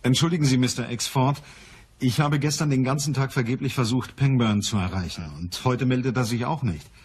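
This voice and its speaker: A man's voice: mid-range voice, brisk, slightly rough, natural voice. An actor playing a shrewd, businesslike male private detective in a radio crime play.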